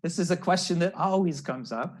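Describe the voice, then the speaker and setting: A man's voice: sits high, a little fast. Man explaining nature to children. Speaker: naturalist, storyteller. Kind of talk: educational talk for children. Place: room at home, indoors. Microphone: wired earbuds on a video call.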